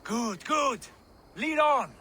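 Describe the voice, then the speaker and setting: An actor playing a proud, embittered Viking lord in a video game, speaking in a solemn, declamatory way. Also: male voice, very high voice, lively intonation, extremely slow, bright, forceful tone, a little hiss or hum, heavily acted. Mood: elated. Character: embittered, proud Viking lord, grown man, fervent and brooding. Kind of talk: dramatic video game acting.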